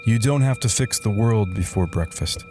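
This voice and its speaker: A man playing a wry, weary middle-aged slacker turned meditation guru, narrating a satirical guided meditation in a deadpan, soothing way with deliberate pauses. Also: on the low side, close to an everyday voice. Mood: calm, deadpan. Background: soft background music.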